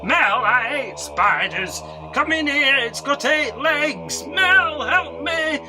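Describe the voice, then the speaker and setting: A man's voice: extremely high pitch, animated, slow pace, music in the background, full character voice, poor audio. A man playing a squeamish, frightened husband-type in a radio drama, whining with exaggerated dread. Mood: panicky. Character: squeamish, panicky man terrified of spiders. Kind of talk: illustrated radio drama acting.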